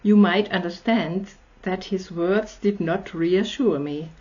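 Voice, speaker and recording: Slow-reading Austrian woman. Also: on the low side, darkish tone. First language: German. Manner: slow, friendly, chatty asides. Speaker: channel host. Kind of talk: reading a story aloud. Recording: slightly dull lo-fi audio.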